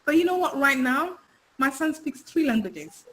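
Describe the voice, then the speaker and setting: A woman's voice: high voice, sing-song, normal speed, a bit bright, low-quality recording. A middle-aged woman from Africa whose first language is Swahili, speaking earnestly and from the heart as an interview guest, often repeating her words. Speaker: guest, parent. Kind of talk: casual interview chat.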